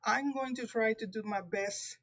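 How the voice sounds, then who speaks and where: Puerto rican lady doing a live tarot reading, kinda high voice, careful and halting, muffled lo-fi sound. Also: fairly bright tone. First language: Spanish. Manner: careful, halting, with frequent restarts. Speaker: tarot reader.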